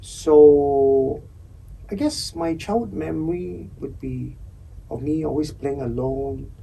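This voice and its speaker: Husky Singaporean man telling his story. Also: fairly high pitch, slow pace, warm tone, far from the mic, low-quality recording. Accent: Singaporean English. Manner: candid, wry storyteller. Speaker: podcast guest. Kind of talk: casual interview chat.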